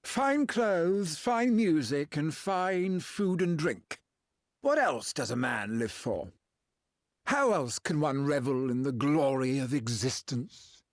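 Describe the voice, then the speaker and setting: Pompous, theatrically swooping villain. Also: male voice, a little high, expressive, slow pace, no room sound, studio quality. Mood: haughty, indulgent. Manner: theatrical, sneering, florid. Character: pompous, decadent villain, bullying and self-indulgent. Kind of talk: dramatic video game voice acting.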